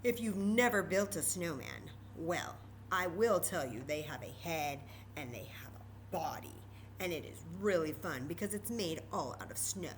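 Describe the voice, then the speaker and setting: A woman's voice: on the low side, lively intonation, normal speed, a little hiss or hum, rough recording. An American woman hosting a children's storytime, speaking expressively and directly to young listeners, mixing read-aloud with chatty asides. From Texas, United States. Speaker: storytime reader and host.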